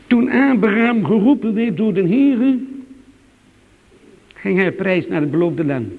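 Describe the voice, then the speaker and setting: A man's voice: high-pitched, vocal fry, long reverb tail, phone-quality sound. A man preaching a sermon in a church, with a solemn, swooping preaching cadence.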